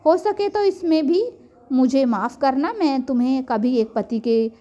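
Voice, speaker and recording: A woman's voice: high-pitched, lively intonation, measured pace, dark tone, highs cut off. A woman storyteller voicing an earnest young bridegroom who speaks in an apologetic, reassuring way. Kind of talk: storybook narration dialogue.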